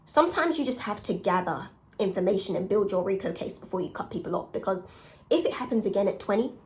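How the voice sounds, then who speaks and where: A young woman with a raspy voice, talking candidly and conversationally in a casual solo confessional, with emphatic points. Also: medium pitch, lively intonation, quick pace, rough recording. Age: young adult. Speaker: podcast host and content creator.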